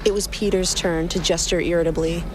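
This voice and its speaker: A woman's voice: fairly low pitch, fairly even intonation, brisk, noisy background. A woman reading scripted fiction as the narrator of a podcast.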